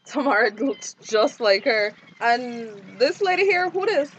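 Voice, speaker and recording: High-voiced, inquisitive woman. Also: expressive, a little slow, dull audio, a little hiss or hum. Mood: sympathetic. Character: polite, inquisitive woman visitor, gently probing. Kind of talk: screen drama dialogue.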